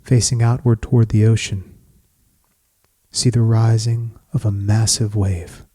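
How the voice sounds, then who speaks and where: A man leading a guided meditation in scripted poetic narration, speaking in a measured, soothing, solemn manner with long pauses. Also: fairly low pitch, flat delivery, mellow, no room sound.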